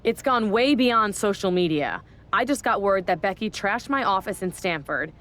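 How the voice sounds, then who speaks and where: A woman's voice: mid-range voice, lively intonation, normal speed, a bit bright, natural voice. Woman acting a stern, scheming female wrestling boss. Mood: exasperated, determined. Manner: stern, commanding. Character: bossy, scheming female wrestling general manager, easily angered. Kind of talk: scripted game cutscene dialogue.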